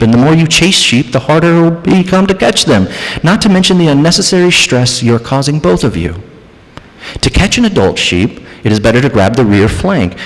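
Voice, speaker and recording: Guy pastor preaching, voice going way up and down, big echoey church. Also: mid-range voice. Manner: dramatic preaching, swings from hushed to raised. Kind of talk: sermon. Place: church sanctuary. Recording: church hall reverb.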